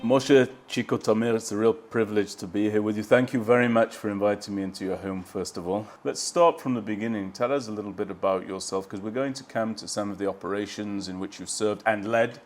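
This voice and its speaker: A man hosting a documentary, narrating in a measured, solemn way and then interviewing a guest. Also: lowish voice, steady tone, faint music behind. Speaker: host. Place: outdoors at a border lookout.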